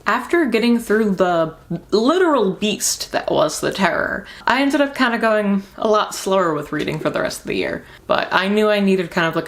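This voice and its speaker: A young woman giving a casual book review as a vlogger, speaking in an informal, rambling and emphatic way. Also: fairly low pitch.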